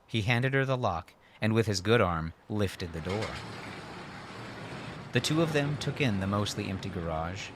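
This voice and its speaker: A man narrating scripted fiction as an audiobook reader, in a measured, dramatic manner. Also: low voice, fairly bright tone, slight background noise.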